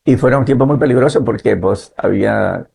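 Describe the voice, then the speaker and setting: A man in his fifties from Nicaragua, a pastor and missionary, telling his life story in a measured way and often adding "¿verdad?". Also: mid-range voice, gravelly, darkish tone, slightly rough. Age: 50s. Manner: measured, adds "¿verdad?" tags. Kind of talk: relaxed testimony in conversation.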